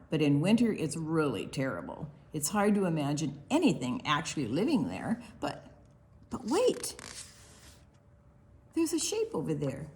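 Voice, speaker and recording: A woman reading a picture book aloud for preschoolers, expressively and deliberately, with quiet asides to the young listeners. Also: fairly low pitch. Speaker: children's librarian hosting online storytime.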